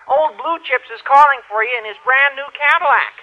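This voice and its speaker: An actor playing an eager, comically self-important teenage schoolboy with a squeaky adolescent voice in an old-time radio sitcom. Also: male voice, brisk, dull audio.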